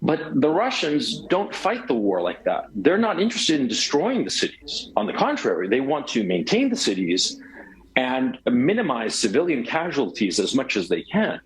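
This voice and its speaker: A man's voice: medium pitch, wildly swooping pitch, moderate pace, poor audio. A man from Chile, an interview guest, speaking over a cell phone in an emphatic, ranting way with frequent restarts. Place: city in Eastern Europe.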